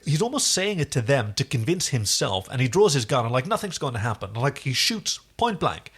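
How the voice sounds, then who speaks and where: A man's voice: medium pitch, sing-song, fairly quick, fairly bright tone, slightly rough. A man co-hosting a casual TV recap podcast, explaining things with enthusiasm. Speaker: podcast co-host. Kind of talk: casual TV episode recap chat.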